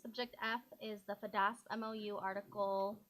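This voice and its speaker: A woman chairing a school board meeting, calling items and votes in a brisk, procedural way. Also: mid-range voice, little pitch movement, fairly bright tone, slight background noise. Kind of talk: running a public meeting.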